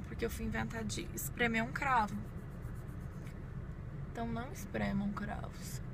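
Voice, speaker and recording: A Brazilian woman in her twenties chatting informally to viewers inside a parked car, busy with her makeup as she talks. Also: on the high side. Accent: Brazilian Portuguese. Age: 20s. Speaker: beauty vlogger. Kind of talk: casual chat to viewers while doing makeup. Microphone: phone at arm's length. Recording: clear vehicle and ambient noise.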